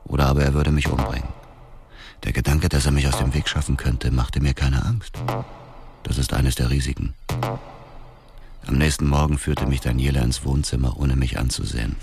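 A cold, vengeful man tells his own story in a radio crime play. His voice is extremely low, detached and full of contempt, with music clearly behind it. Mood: cold, contemptuous. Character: cold, embittered male avenger narrating his revenge. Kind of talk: scripted first-person radio drama narration.

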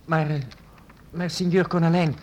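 An actor playing a loyal, earnest young apprentice in a radio play, stammering at times. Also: male voice, fairly high pitch, level tone, moderate pace, darkish tone, slight background noise, natural voice. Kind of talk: radio drama acting.

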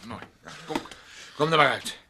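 A man's voice: on the high side, moderate pace, heavy background noise, natural voice. An actor in a radio play playing a jealous, possessive man who is scornful, clinging and taunting. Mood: agitated, then coaxing. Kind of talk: Dutch radio play acting.

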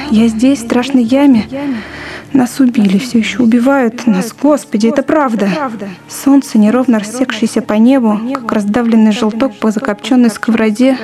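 An actress playing a stunned, horrified wounded village woman in a halting, disbelieving radio drama monologue. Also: on the high side, lightly acted.

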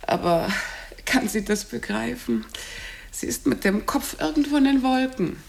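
Sweetly condescending, self-satisfied housewife. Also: female voice, fairly high pitch, a little slow, gravelly. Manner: polite but pointed, chatty. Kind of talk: German radio play acting.

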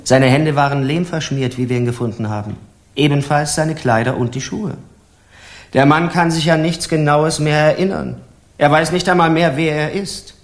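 A man's voice: on the high side, moderate pace, lightly acted. An actor playing a harsh, scornful Parisian justice official in a German radio crime drama, curt and pompous as he interrogates. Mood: indignant, contemptuous.

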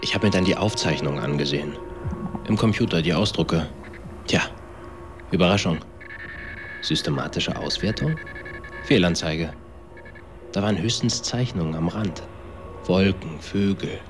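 A man's voice: deep voice, normal speed, long pauses, music in the background, natural voice. An actor playing a cynical, coarse man recounting events in a naturalistic radio drama, in clipped, sardonic slang. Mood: matter-of-fact.

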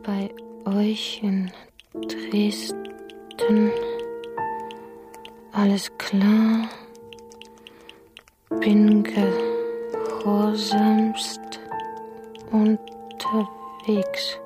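Dutiful official, super slow and flat, half whispered bits, music behind, radio drama. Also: female voice, mid-range voice. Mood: dry, pensive. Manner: fragmented, drawn-out phrases, partly whispered.

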